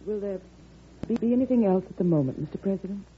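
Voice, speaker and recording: An actress playing a devoted, worried secretary who gently scolds, polite and deferential, in a 1940s radio drama. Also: female voice, mid-range voice, lively intonation, fast talker, natural voice. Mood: deferential, concerned. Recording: muffled, noisy old radio recording.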